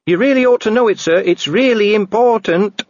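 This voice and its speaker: A man's voice: sits high, moderate pace, dry recording, lo-fi sound, pitched above the performer's own voice, natural voice. A man voicing a nervous young female dwarf guard who speaks urgently and insistently. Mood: anxious.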